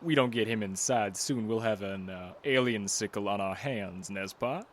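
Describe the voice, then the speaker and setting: A man acts out a smug commander of an armed squad in an audio drama. The voice sits low, and he gives orders with wry, wordy courtesy. Background noise runs under him. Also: normal speed, natural voice. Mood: wry, in control. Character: smug, unhurried male leader of an armed squad.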